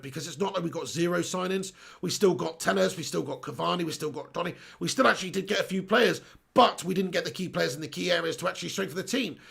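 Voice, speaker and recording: A man with a hoarse, worn-out voice hosts a casual live stream, talking in loose, rambling runs. His voice sits fairly high and crackles with heavy fry. It sounds husky throughout. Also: fairly bright tone. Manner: rambling, repeats himself, reads viewer comments aloud. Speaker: host of a fan live stream.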